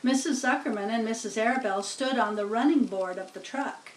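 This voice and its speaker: A woman's voice: a little high, a little fast, slight background noise. A woman reading a children's story aloud, narrating plainly and chatting to explain things as she goes. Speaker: storybook reader. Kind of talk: slow read-aloud narration with casual explanatory asides. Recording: roomy, distant-sounding home recording.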